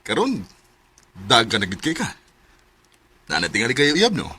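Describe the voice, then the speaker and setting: A man's voice: mid-range voice, wildly swooping pitch, normal speed, pushed and bright, breathy edge, no room sound, heavily acted. A man playing an admiring middle-aged family friend in a radio drama, with a Cebuano accent. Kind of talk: Filipino radio drama acting.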